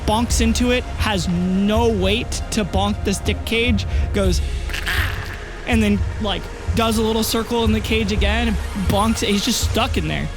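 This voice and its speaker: A man's voice: high voice, unhurried, over music. A man casually improvising scenes as game master, using informal, profane slang. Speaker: game master of a tabletop RPG.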